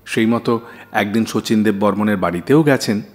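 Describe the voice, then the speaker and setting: A man's voice: fairly low pitch, moderate pace. A man hosting a radio show, telling scripted anecdotes in a dramatised, storytelling manner. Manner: dramatised anecdote telling, acting out quoted dialogue.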